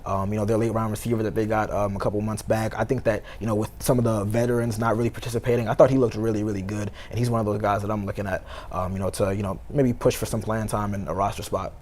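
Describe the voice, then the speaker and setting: An American man talks sports at a very quick clip in a fairly low voice. He sounds like a reporter chatting casually as a guest, leaning on conversational fillers as he builds each point. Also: flat delivery, darkish tone, slight background noise. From Baltimore, United States. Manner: conversational, heavy use of "you know" and "like".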